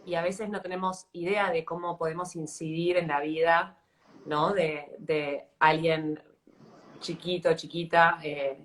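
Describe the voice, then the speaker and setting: A woman's voice: on the low side, fairly bright tone. A woman in her thirties with an Argentine accent, interviewing in a casual, reflective way as she searches for her words. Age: 30s. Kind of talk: casual live interview chat.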